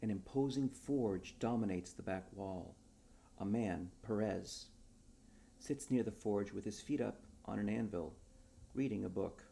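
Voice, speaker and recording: A man who is an author reading his own short story aloud in a dramatic storybook style, with a mellow voice. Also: fairly low pitch, a little slow, gravelly, a little hiss or hum.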